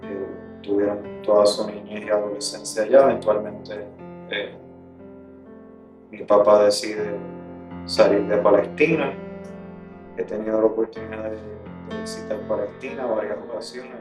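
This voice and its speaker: A Puerto Rican man giving a thoughtful testimony, pausing often with fillers. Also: fairly low pitch, little pitch movement, darkish tone. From Puerto Rico. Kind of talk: reflective testimony. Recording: roomy distant mic with guitar music.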